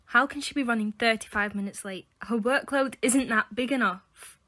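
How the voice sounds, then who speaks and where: A woman's voice: fairly high pitch, moderate pace, bright, forceful tone. A young woman playing an anxious, insistent university student in a fan audio drama, urgent and questioning. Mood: worried, insistent. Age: young adult.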